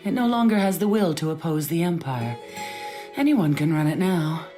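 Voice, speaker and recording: Low-voiced, stern warrior princess. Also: female voice, animated, normal speed, music in the background. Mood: stern, cool. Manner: clipped, businesslike, haughty. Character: stern, proud warrior princess, hard-line military commander. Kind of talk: English-dub anime audio drama acting.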